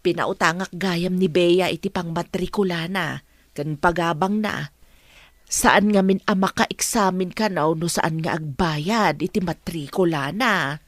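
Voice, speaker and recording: A woman's voice: on the low side, unhurried, no echo. A woman with an Ilocano Filipino accent playing a sympathetic neighbour in a radio drama. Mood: questioning.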